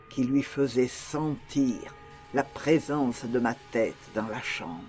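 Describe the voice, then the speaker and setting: A man playing a nervous, obsessed murderer confessing in a theatrical, halting and deliberate literary narration. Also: mid-range voice, lightly acted. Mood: hushed, menacing. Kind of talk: dramatic literary audiobook narration. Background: music under the voice.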